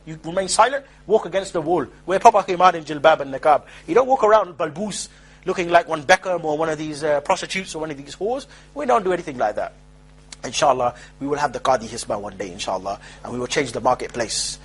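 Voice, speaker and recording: A man giving an informal street-style lecture as a preacher, rapid and emphatic with mocking asides. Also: on the high side, husky, rough recording.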